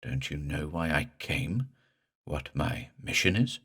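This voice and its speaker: A dead man risen from the water speaks in a slow, gurgling, guttural drawl, as a storyteller puts him on. His voice sits very deep and menacing. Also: expressive, sharp tone, slightly rough, heavily acted.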